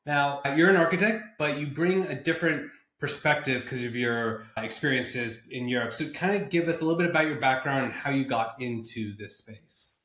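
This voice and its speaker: A man's voice: medium pitch, normal speed, few pauses, fairly bright tone. Man hosting a podcast, roomy sound. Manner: informal, conversational. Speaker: podcast host. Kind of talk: casual interview hosting.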